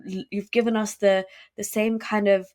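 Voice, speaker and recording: A woman's voice: medium pitch, level tone, darkish tone. Emphatic woman, interview guest. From United Kingdom. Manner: emphatic, rapid, many fillers and restarts. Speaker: podcast guest. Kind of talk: conversational interview.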